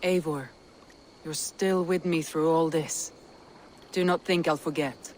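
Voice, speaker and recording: Earnest, low-voiced Viking woman leader. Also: fairly even intonation, takes it slowly, a little mellow, a little hiss or hum, low-quality recording. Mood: resolute. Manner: earnest, formal. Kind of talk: dramatic video game acting.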